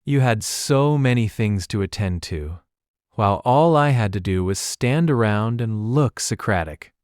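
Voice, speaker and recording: Erudite young detective, animated and dry-sounding. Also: male voice, fairly low pitch, no room sound. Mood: calm, wry. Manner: pedantic, erudite, deliberate phrasing. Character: Ellery, bookish young male amateur detective, calm, analytical. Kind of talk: full-cast audiobook mystery drama.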